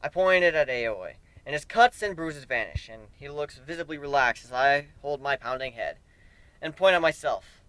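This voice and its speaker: A man's voice: fairly high pitch, expressive, moderate pace, fairly bright tone, clean tone, no echo, poor audio. A man giving a theatrical, emphatic dramatic reading of a first-person fantasy story. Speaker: narrator of fan fiction.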